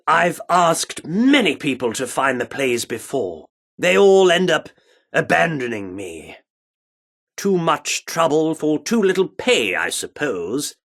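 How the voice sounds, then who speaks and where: Flamboyant, high-voiced theatre director, grandly theatrical. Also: male voice, vocal fry, fairly bright tone, heavily acted. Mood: wistful, persuasive. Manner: theatrical, grandiloquent, archaic turns of phrase. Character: flamboyant, earnest Shakespeare-obsessed male theatre director. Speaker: voice actor as game character. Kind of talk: theatrical video game character dialogue.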